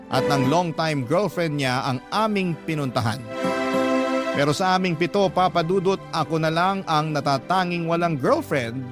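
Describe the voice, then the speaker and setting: Actor as young man narrating, loud guitar behind. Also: fairly high pitch, natural voice. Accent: Filipino. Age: 30s. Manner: conversational storytelling, addresses the host directly. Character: 31-year-old single man narrating his love story to a radio host. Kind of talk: scripted letter narration in a radio drama. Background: loud guitar music.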